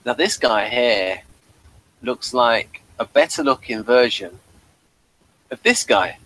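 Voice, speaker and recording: A middle-aged man hosting a casual review chat over a video call, halting and repeating words as he gathers his thoughts. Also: mid-range voice, lively intonation, a bit bright, poor audio. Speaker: host of a review show. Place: small cluttered room at home. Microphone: headset on a video call.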